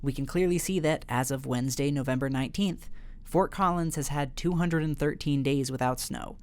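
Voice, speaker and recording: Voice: mid-range voice, normal speed, a little mellow. A young student host narrating a scripted segment in an upbeat, explanatory way.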